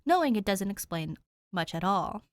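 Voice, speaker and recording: A woman reading fan fiction aloud as a podfic narrator, in an even storytelling manner on a close home mic. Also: medium pitch, normal speed, no room sound. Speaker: podfic reader.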